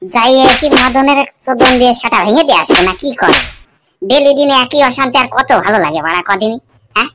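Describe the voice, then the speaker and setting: A grumpy, tired cartoon guy next door acted by a woman, swearing and grumbling with exasperation. The voice is on the high side, with loud noise and clicking in the recording. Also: animated, brisk, lightly acted. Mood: exasperated grumbling. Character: grumpy, sleep-deprived, foul-mouthed male neighbour named Futo. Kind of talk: cartoon comedy dialogue. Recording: very noisy, clicking background.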